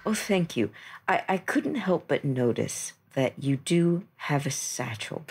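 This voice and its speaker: A woman's voice: low-pitched, animated, measured pace, darkish tone, husky, heavily acted. A woman voicing a timid, polite large bird in a hesitant, apologetic way for a tabletop game.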